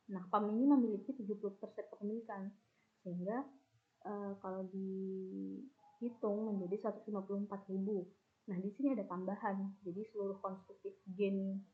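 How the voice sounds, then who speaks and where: Young woman, thin phone-like sound. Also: mid-range voice. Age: young adult. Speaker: student presenter. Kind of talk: explanatory class presentation. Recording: muffled, phone-like narrow band.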